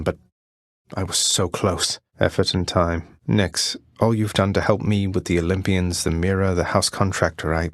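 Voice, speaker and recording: An actor playing a young, rebellious prince of the underworld in a video game, earnest and wry, with polite understatement and dry sarcasm. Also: male voice, sits low, dry recording. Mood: subdued, earnest. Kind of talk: video game character voice lines.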